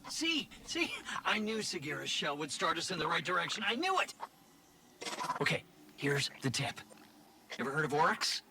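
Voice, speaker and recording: An actor playing an eager, shifty little robot informant in a video game, stammering and repeating words excitably. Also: male voice, fairly high pitch, moderate pace, pauses often, background noise. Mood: excited, eager. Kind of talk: animated game dialogue.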